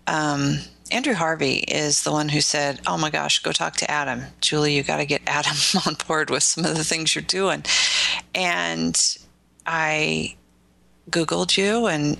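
A woman's voice: low voice, creaky, fairly bright tone. A woman hosting a podcast interview, affirming her guest and asking eager questions. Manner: enthusiastic, affirming. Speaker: interview host.

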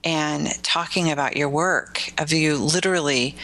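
Low, gravelly, bright-toned woman host. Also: unhurried, low-quality recording. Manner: enthusiastic, affirming. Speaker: interview host. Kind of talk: warm podcast interviewing.

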